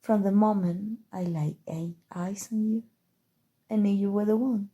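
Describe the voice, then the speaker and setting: A woman playing a calm, seductive, obsessive evil sorcerer in an intimate, coaxing ASMR roleplay, with dramatic pauses. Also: medium pitch, husky, lo-fi sound. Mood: possessive, intense. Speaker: voice actor. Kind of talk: ASMR roleplay, scripted acting.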